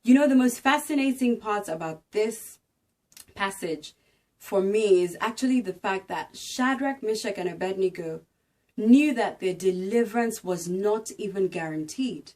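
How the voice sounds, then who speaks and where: Slow, earnest woman giving a devotional. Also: medium pitch, animated, a little mellow. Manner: earnest, emphatic, exhorting. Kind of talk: devotional talk and dramatic scripture narration.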